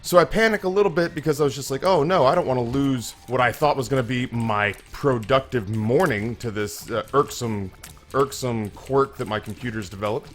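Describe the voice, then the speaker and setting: A slightly hoarse young man hosting a gaming channel, telling a long, self-mocking story with many restarts while he plays. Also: mid-range voice, normal speed. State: a little hoarse. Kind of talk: casual let's-play commentary.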